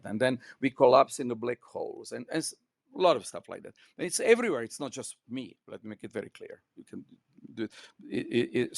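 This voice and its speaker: An older man with a Croatian accent, talking as a physics professor in an interview in an emphatic, digressive way, often repeating words haltingly. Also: mid-range voice, dry recording, clean studio sound. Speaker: physics professor, podcast guest. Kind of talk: unscripted, discursive interview talk.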